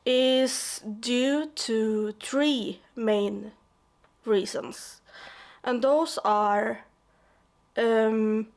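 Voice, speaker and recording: A young woman from Sweden with a Swedish accent, talking to camera in her vlog, with halting restarts and fillers. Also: a little high. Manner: slow, hesitant, many restarts and fillers. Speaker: YouTuber. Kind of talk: personal vlog talk.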